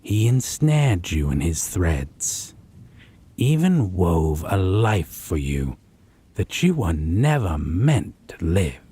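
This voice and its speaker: A man playing a possessive, condescending vampire lover in a roleplay audio drama, haughty and deliberate with a menacing, husky delivery. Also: deep voice, sing-song, dark tone, heavily acted. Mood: scornful, menacing. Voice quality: breathy, husky.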